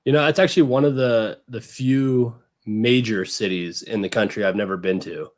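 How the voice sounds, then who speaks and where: A man hosting a casual online talk show, relaxed and joking, with plenty of fillers. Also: lowish voice, normal speed. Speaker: podcast host. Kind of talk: casual chat.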